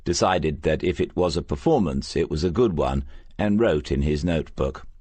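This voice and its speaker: A man narrating a crime novel as an audiobook, with measured, scripted storytelling. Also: a bass voice, expressive, dark tone, slightly rough, dry recording.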